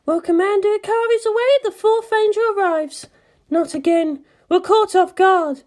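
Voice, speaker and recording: A woman's voice: very high voice, moderate pace, a little mellow, pitched above the performer's own voice. A woman voicing a tense, bossy young female military commander in a fan fiction reading. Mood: wry, tense.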